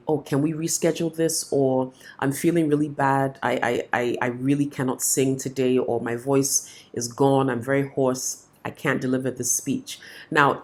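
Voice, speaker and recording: A woman, a singer and vocal coach with a cold, giving practical tips to camera in a friendly, explanatory way. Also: extremely low pitch. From Ghana. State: has a cold. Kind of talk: instructional talk to camera.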